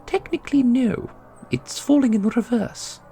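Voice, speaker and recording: A man voicing a solemn, knowing keeper of an ancient magical order in a fantasy audio roleplay, speaking in a formal, measured way. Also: very high voice, warm tone. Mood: dry, brisk. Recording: music under the voice.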